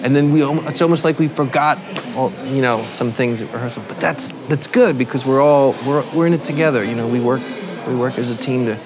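A man's voice: mid-range voice, brisk, few pauses, a crowd in the background. Reflective man musician in interview. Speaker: band musician. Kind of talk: backstage interview.

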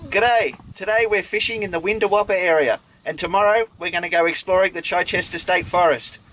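A man's voice: high-pitched, brisk, a bit bright. Chatty Australian man on a boat. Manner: chatty, self-deprecating, laughs often. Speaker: vlog host. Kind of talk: casual outdoor vlog. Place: small open boat on a river. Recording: noisy outdoor camera audio.

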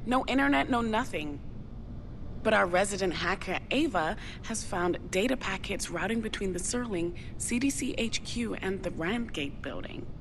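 A woman's voice: lively intonation, moderate pace, close to an everyday voice. An actress playing an evasive, determined young woman in a video game, talking in a casual, slangy, offhand way. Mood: secretive, determined. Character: evasive, determined young woman investigating her missing dad. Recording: clear low rumbling background noise.

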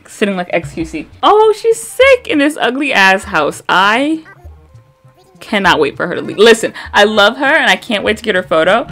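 A young woman from the American Midwest with a Midwest accent and a slight vocal fry, chatting bluntly and swearing while commenting on gameplay. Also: medium pitch. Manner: chatty, blunt, swears freely, reads game dialogue aloud flatly. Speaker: gaming streamer.

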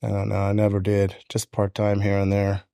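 American guy in his 50s telling life stories on a podcast, lowish voice, close dry mic. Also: monotone, normal speed, creaky, warm tone, no echo. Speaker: podcast guest, electrician. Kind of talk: casual personal storytelling. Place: indoor podcast studio. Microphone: close studio microphone on a stand.

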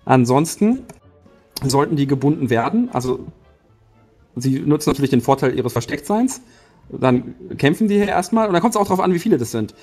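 A man's voice: fairly high pitch, expressive, normal speed, darkish tone, breathy edge, soft background music. A man playing a tabletop game, working out a battle plan aloud and restarting his sentences as he thinks. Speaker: player. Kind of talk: tabletop strategy discussion.

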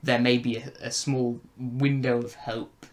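A man's voice: mid-range voice, little pitch movement, unhurried. A young man in a casual review chat, searching for his words as he talks. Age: young adult. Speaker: podcast reviewer and co-host. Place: bedroom, indoors. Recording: noisy home room recording.

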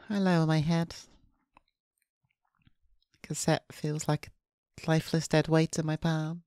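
Female streamer narrating a visual novel: deep for a woman, flat and subdued, dry close-mic sound. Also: slow, long pauses, no room sound. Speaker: VTuber streamer. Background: faint game music.

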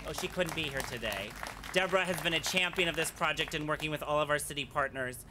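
A man's voice: on the high side, pushed and bright, a faint crowd, slight background noise. Earnest man thanking partners outdoors. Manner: earnest, slightly halting, with fillers. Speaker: arts official. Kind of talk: ceremony speech with thank-yous. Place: outdoor plaza at a groundbreaking. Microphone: podium mics.

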